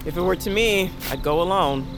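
A woman acts a low-voiced winged female warrior in a fantasy audio drama. She is blunt and commanding, with a sardonic edge, and music runs behind her. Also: fairly quick, close to an everyday voice. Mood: wry, businesslike. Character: bold, wary winged female warrior on a rescue mission. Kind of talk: audio fiction drama acting.

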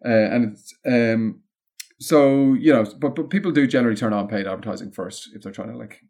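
A man with an Irish accent explaining things in a casual interview, in a discursive, halting way with plenty of "like" and "you know". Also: mid-range voice, vocal fry, darkish tone. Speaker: interview guest, content marketing consultant.

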